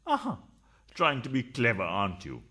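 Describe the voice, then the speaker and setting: A man plays a philandering husband in a radio farce. His rough, husky voice is mid-pitched but jumps about widely. He blusters and accuses, suspicious and tight-fisted. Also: wildly swooping pitch, moderate pace, sharp tone, heavily acted. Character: blustering, suspicious, stingy male adulterous husband. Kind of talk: farcical radio play acting.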